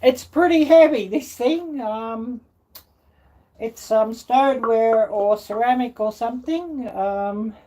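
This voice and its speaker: A middle-aged woman chatting casually and unscripted as a live-stream cooking host, halting and rambling as she searches for words. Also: a little high, dark tone. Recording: distant mic, clear background noise.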